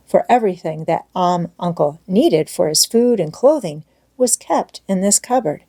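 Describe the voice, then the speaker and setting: A woman reading a children's classic aloud as scripted storybook narration, in a measured, expressive manner. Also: lowish voice, vocal fry, slightly rough. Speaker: audiobook narrator.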